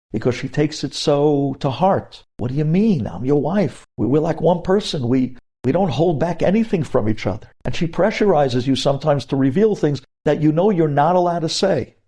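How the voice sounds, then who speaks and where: A man's voice: fairly high pitch, a little mellow. A man giving a religious ethics lecture as a rabbi, speaking emphatically and putting rhetorical questions straight to his listeners.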